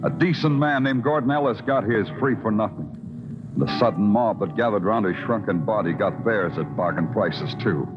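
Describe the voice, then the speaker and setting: Actor as brooding noir detective, low, world-weary, terse, expressive, old radio drama, muffled sound, music behind. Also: male voice, moderate pace, lightly acted. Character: hard-boiled, weary, brooding New York police detective. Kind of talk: 1950s radio noir narration and acting.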